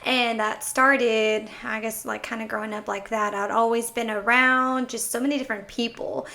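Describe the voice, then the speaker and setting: Bright-voiced upbeat young woman chatting. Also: fairly high pitch, fairly even intonation, moderate pace, gravelly. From Texas. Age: 20s. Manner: rambling, upbeat, laughs often. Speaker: podcast guest. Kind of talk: casual conversation.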